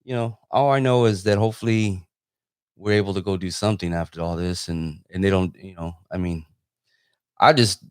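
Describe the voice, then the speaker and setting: A man's voice: low-pitched, normal speed, clean tone, no echo, clean studio sound. A man hosting a casual live interview, talking in an informal, rambling way. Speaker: interviewer and host; photographer.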